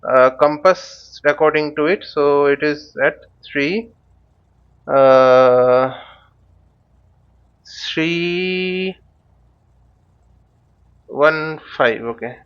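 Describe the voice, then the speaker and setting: High-pitched man, halting slow tutorial delivery. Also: smooth, highs cut off. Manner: halting, thinking aloud, step-by-step explaining; poses questions and answers them himself. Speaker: flight simulator instructor. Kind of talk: unscripted tutorial with live demonstration. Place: voice-over recorded while using a flight simulator.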